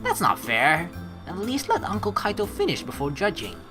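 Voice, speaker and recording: A woman's voice: lowish voice, huge pitch swings, moderate pace. A woman hosting a game playthrough, reading text aloud and commenting in a rambling, casually sweary way. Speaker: let's play host. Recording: game music behind the voice.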